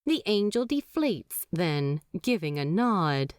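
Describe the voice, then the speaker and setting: A woman reading a fanfiction story aloud, slow and very expressive in her pitch. The recording is close and dry with no room sound. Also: smooth, no room sound. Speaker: audiobook narrator.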